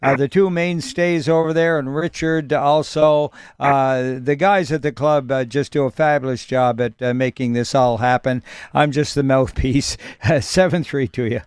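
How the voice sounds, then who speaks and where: A man acting as net control on a ham radio net, chatting in a friendly, folksy way with lots of fillers and pauses. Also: mid-range voice, steady tone, smooth, no room sound, studio quality. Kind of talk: radio net operating chat.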